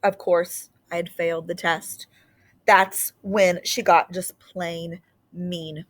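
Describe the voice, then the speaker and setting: Slow-paced woman teacher reading aloud. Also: fairly low pitch, level tone. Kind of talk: read-aloud lesson with informal recap.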